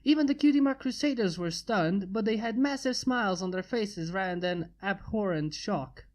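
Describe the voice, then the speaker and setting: A woman narrates a story in an even, steady reading voice. Her tone is mellow and warm, at an ordinary pitch. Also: moderate pace. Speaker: fanfiction reader.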